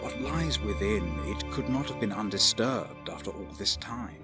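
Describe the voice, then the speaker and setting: A man's voice: lowish voice, normal speed, natural voice. A man playing a disciplined elf warrior companion in an audio drama, speaking in a terse, formal way. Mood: doubtful. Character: male elf warrior companion, disciplined, impatient but diligent. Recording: music behind the voice.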